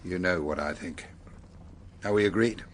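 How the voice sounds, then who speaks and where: An actor playing a middle-aged senior British police officer in a radio drama, measured, firm and courteous. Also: male voice, low-pitched, slight background noise, close to an everyday voice. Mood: weary, resolved. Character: authoritative but humane senior police officer.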